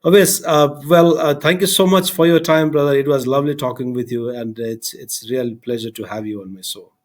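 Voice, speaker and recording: A man's voice: medium pitch, fairly even intonation, fairly quick. A man hosting a podcast, who asks polite, hesitant questions and responds with short acknowledgements. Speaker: podcast host. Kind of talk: casual interview questions.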